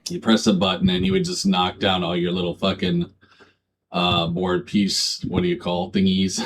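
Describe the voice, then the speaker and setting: A man's voice: low-pitched, monotone, moderate pace, slight background noise. A man doing casual vlog commentary, swearing a lot and tacking "bro" and "dude" onto his lines. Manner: profane, slangy, keeps adding "bro" and "dude". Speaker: online video creator.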